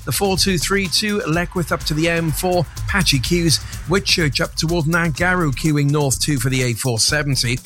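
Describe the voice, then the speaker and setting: Man, traffic reporter, brisk, matter-of-fact, fairly high voice, faint music. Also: fairly bright tone. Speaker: travel reporter.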